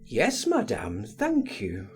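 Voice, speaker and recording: A man voicing an eager, gallant young man who flatters society ladies in an audiobook, courteous and ardent. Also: mid-range voice, slow, faint music behind. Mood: eager courtesy.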